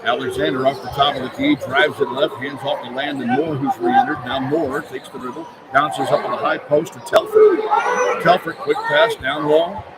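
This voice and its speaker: A man's voice: fairly high pitch, wildly swooping pitch, normal speed. A man calling live basketball play-by-play as a radio announcer, courtside in an arena. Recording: loud arena crowd noise. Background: roaring crowd and arena noise.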